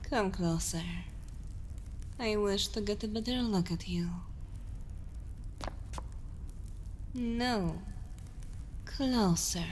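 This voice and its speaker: A woman acts out an evil queen in an ASMR roleplay, seductive and predatory. She speaks extremely slowly and deliberately in a mid-range voice, hushed and sometimes whispered. Her tone is imperious, teasing and condescending, over faint background ambience. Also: mid-range voice, a little hiss or hum, natural voice. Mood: commanding, teasing. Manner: slow, deliberate, hushed delivery slipping into whispers. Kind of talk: ASMR roleplay, intimate dramatic monologue.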